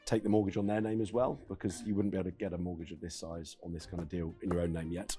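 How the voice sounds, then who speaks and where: A man's voice: low-pitched, flat delivery, fast, dark tone, soft background music. A man on an investor panel, asking direct, probing questions.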